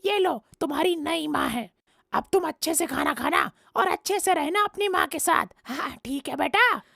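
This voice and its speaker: A woman voicing an emphatic, fretful crow father with a creaky voice in a children's cartoon. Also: high voice, expressive, moderate pace, pushed and bright, dry recording, studio quality, voice pushed up, heavily acted. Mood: coaxing. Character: troubled crow father, fretful, protective of his daughter.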